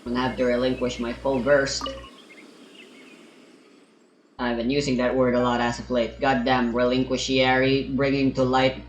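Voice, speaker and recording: A man's voice: mid-range voice, completely flat delivery, brisk, roomy sound. A man giving casual, rambling commentary on his own gameplay, swearing now and then and talking to himself. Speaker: gamer streaming his play.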